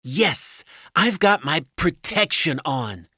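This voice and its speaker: A man's voice: big pitch swings, takes it slowly, pushed and bright, breathy edge, no echo, clean studio sound, heavily acted. A man voicing a meek, anxious, long-suffering wife in an audiobook story, speaking in a plaintive, fretful way. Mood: meek, subdued. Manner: plaintive, fretful, higher-voiced.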